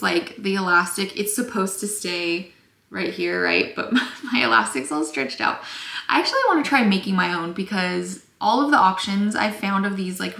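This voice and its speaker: A young woman teaching sewing to camera in a chatty, casual way, a little scratchy-voiced and quick to apologize. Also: medium pitch, creaky, sharp tone. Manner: chatty, apologetic, lots of fillers. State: slightly scratchy voice. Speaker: sewing instructor. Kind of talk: casual tutorial talk to camera. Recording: echoey room.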